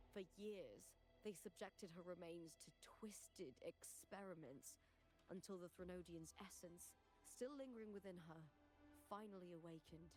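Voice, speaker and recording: An actress playing a solemn young warrior woman in a game, recounting tragedy in measured, earnest dialogue. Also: on the low side, darkish tone, close to an everyday voice. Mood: grave, sorrowful. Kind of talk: dramatic scripted game dialogue. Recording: game audio under prominent music.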